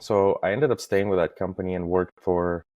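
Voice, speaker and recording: A man in his thirties from Estonia, talking as a podcast guest in a halting way, with many fillers and restarts. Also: deep voice, steady tone, a little mellow, no room sound, muffled recording. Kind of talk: casual interview talk.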